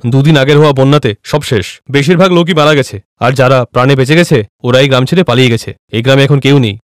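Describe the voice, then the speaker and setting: A man's voice: mid-range voice, fast, no echo, clean studio sound, close to an everyday voice. Man acting a stern policeman. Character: stern policeman blocking the road.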